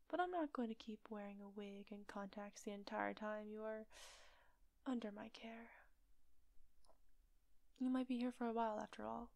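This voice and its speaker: A woman playing a teasing, manipulative villain kidnapper in roleplay audio, softly half-whispering in a coaxing, unhurried way. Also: a little high, dry recording. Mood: cool, menacing. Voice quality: soft, breathy, often whispery. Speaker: voice actor.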